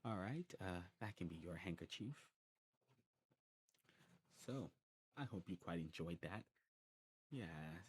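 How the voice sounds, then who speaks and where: Man playing a slow, low-voiced genteel old demon. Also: a little hiss or hum. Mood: courteous, attentive. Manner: genteel, unhurried, musing with hums and pauses. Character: courteous old male demon snuff shopkeeper. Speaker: ASMR roleplay shopkeeper. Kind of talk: ASMR roleplay, talking to the listener.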